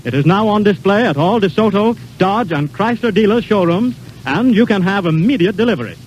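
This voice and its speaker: High-pitched American 1930s radio announcer man. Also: lively intonation, fairly quick, dull audio. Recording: noisy vintage 1930s radio broadcast recording.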